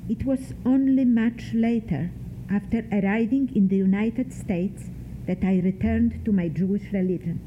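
An older woman reads her own memoir, slow and deliberate, dwelling on single words. Her pitch sits in an ordinary middle range. The sound is thin and phone-like, with a faint hiss underneath. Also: dark tone. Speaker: memoir author reading her work. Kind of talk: slow, measured literary reading.

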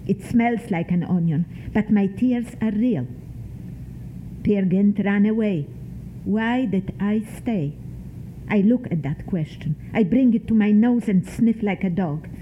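An older woman reads her own memoir, slow and deliberate, dwelling on single words. Her pitch sits in an ordinary middle range. The sound is thin and phone-like, with a faint hiss underneath. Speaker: memoir author reading her work. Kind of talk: slow, measured literary reading.

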